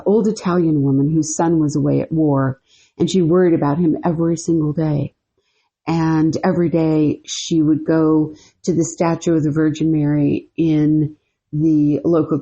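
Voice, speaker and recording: Woman, podcast co-host, low pitch, husky, mellow, rambling casual storytelling. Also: lo-fi sound. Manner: rambling, full of "you know" and "like".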